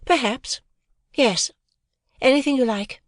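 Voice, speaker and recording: A woman's voice: high-pitched, slow, no echo, clean studio sound, pitched above the performer's own voice. A woman voicing a proud, elegant young society beauty in an audiobook, with cool, careless disdain. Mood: careless amusement. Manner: detached, careless, teasing disdain.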